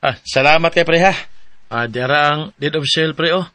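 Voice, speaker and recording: An actor with a Cebuano Filipino accent playing a friendly, persuasive buddy in a radio drama, with a chummy, coaxing manner. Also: male voice, fairly high pitch, fairly quick, sharp tone, dry recording, faint music behind. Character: friendly, persuasive male buddy pawning his land.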